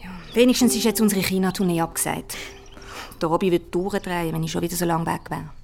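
A woman's voice: lowish voice, fairly even intonation, quick pace, gravelly, a little mellow. An actress playing a harried, stoical mother and violinist in a radio drama, speaking in a colloquial, matter-of-fact way. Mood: worried, matter-of-fact. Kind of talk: naturalistic radio drama acting. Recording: music behind the voice.

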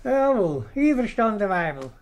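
A man's voice: high-pitched, sing-song, a little slow, heavily acted. A man playing a scolding, officious town councillor and judge in Swiss German, in a 1950s radio comedy.

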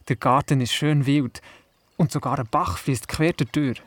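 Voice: medium pitch, normal speed, dry recording, natural voice. An actor playing a curious, imaginative young boy with a Swiss German accent, telling his story in a children's radio play. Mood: earnest.

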